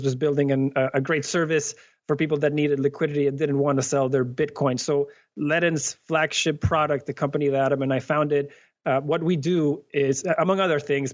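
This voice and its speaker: Earnest man from Venezuela, muffled audio. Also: fairly high pitch. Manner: earnest, frequent fillers and restarts. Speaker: guest, company cofounder. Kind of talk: interview answers.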